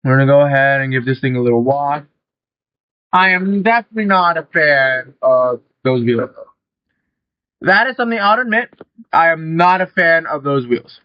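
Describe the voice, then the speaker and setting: A young man with a slightly high voice talks his way through a car-building game, loose and rambling, with casual swearing thrown in. The sound is dry and close, with a somewhat dull, muffled tone. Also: expressive, moderate pace, dry recording. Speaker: gaming channel host. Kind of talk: casual gameplay commentary.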